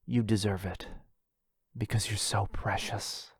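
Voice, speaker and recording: A man's voice: on the low side, level tone, a little slow, husky, dry recording, full character voice. A man playing a lovestruck, possessive, centuries-old vampire brother in a boyfriend roleplay, tender and pleading. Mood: adoring.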